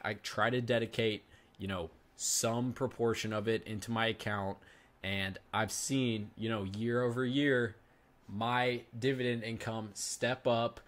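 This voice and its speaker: A young man in his twenties with a somewhat low voice hosts a finance channel. He talks in a loose, chatty way and keeps turning to address his viewers directly. The delivery sounds like relaxed, unscripted commentary. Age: 20s. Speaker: finance YouTuber host. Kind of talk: casual reaction commentary.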